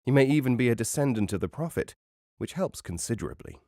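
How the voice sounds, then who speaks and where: A man reading a travel memoir as an audiobook narrator, in a measured, wry and formal literary manner. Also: mid-range voice, expressive, warm tone, dry recording, clean studio sound.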